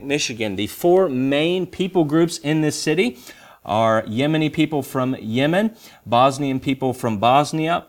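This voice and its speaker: A young adult American man, a missionary, speaking to a church congregation in a conversational, explanatory way with rhetorical questions. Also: medium pitch. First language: English.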